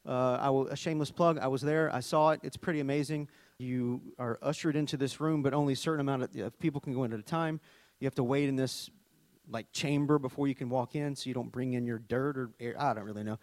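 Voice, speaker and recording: A man's voice: mid-range voice, quick pace, no echo. A middle-aged man from Arkansas with a Southern US accent, a worship leader preaching a conversational sermon with joking asides and scripture reading. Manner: chatty, joking asides, animated storytelling.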